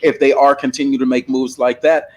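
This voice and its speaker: A man's voice: medium pitch, flat delivery, brisk, a little mellow. A man hosting a casual livestream panel discussion, talking bluntly and with plenty of profanity over a desktop microphone. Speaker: panel host.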